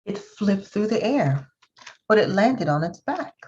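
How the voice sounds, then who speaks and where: A woman reading a children's story aloud expressively, with drawn-out emphasis. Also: medium pitch, very animated, darkish tone. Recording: slightly dull, lo-fi sound.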